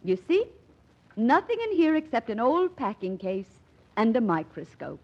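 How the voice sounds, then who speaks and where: An actress playing a devoted, encouraging young wife and former schoolteacher, tender and coaxing, in 1940s radio drama style. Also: female voice, a little high, sing-song, moderate pace, husky, exaggerated voice. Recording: muffled old radio recording.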